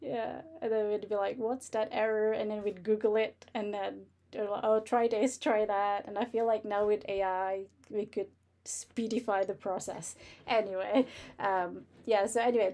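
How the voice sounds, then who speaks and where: A woman with a medium-pitched voice hosts a casual livestream. She chats informally and breaks into little laughs as she goes. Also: level tone, moderate pace, mellow. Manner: giggly, laughs often while talking. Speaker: livestream host. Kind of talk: casual chat.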